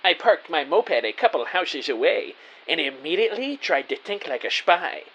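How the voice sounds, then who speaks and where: An actor playing an excitable, anxious amateur spy who narrates his own story in an audio drama. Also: fairly high pitch, normal speed, breathy edge, exaggerated voice, rough recording. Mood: anxious narrating. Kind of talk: scripted first-person story narration. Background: music.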